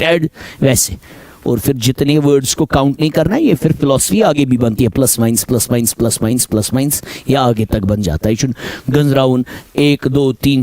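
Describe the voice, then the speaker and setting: A man with a Kashmiri accent teaching reasoning in class, going over each point slowly and again, switching between Hindi and English and calling his students beta. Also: a little high, dry recording. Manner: slow, repetitive, mixes Hindi and English, addresses students as beta. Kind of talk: classroom lecture.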